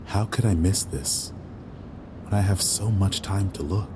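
A man's voice: low-pitched, unhurried, background noise. A man playing a guilt-ridden, self-doubting young waiter with secret powers in an audio drama, brooding and hesitant. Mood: bitter, frustrated. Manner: brooding, rueful, hesitant with others. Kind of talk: audio drama acting with inner monologue.

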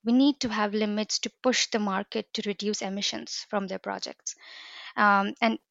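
A woman's voice: mid-range voice, steady tone, normal speed, creaky, a bit bright, dry recording, dull audio. A woman from India, answering interview questions in a fluent, earnest, explanatory way. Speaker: guest, business developer. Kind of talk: podcast interview.

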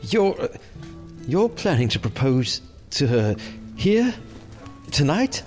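An actor playing an uneasy medieval sheriff in an audio drama, hesitant, dry and understated. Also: male voice, on the high side, big pitch swings, slow pace, a little mellow, faint music behind. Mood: startled.

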